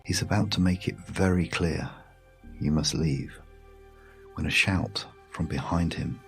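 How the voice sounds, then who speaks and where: A British man narrating a guided sleep meditation story in a hushed, soothing, measured way with long pauses. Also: deep voice, gravelly. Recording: music behind the voice. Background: music.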